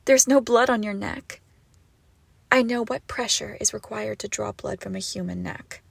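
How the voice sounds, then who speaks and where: A woman voicing an obsessive, icy and menacing crime-boss ex-girlfriend in an intimate audio roleplay, speaking deliberately with swings between whispers and outbursts. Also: medium pitch, creaky, husky, dry recording. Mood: quiet menace.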